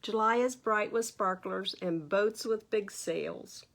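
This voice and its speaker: An American woman reads aloud to young children as a storytime host. Her pace is slow and unhurried, with a mid-range pitch. She talks in a teacherly way, checking in with her listeners through simple questions. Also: creaky. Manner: animated teacherly asides, asking the listeners questions. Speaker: library storytime host reading a picture book.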